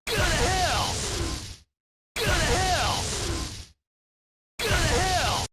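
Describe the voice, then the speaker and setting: A man's voice: extremely high pitch, very animated, extremely slow, exaggerated voice. An actor playing a cocky young male demon hunter in an action video game, calling out short battle lines. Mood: fierce battle cries. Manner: shouted, cocky battle callouts. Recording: game clip under loud music.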